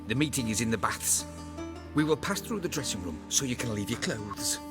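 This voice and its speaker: A man's voice: medium pitch, expressive, moderate pace, close to an everyday voice. A man playing a formal bathhouse attendant in a video game, courteous, mannered and ceremonious. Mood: polite, ceremonious. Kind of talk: video game cutscene acting. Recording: music under the dialogue.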